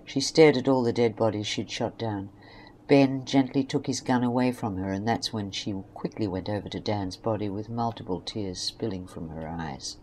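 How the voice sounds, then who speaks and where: A woman narrates a scripted story in a low register. The recording is homemade, with clear noise behind the voice. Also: normal speed, darkish tone.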